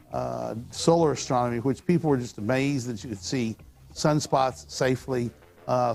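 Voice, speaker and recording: Older male host, hoarse voice, folksy rambling studio chat, faint music under. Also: medium pitch, darkish tone. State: hoarse from talking all weekend. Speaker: astronomy show host. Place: studio with telescopes on display.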